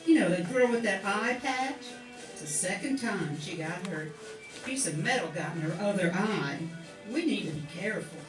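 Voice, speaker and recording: A woman's voice: mid-range voice, animated, moderate pace, lightly acted. A woman playing an eager young wartime factory worker in an amateur radio play. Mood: concerned. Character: eager young Atlanta-born 1940s crane and riveting worker. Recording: echoey room, distant mic, faint music.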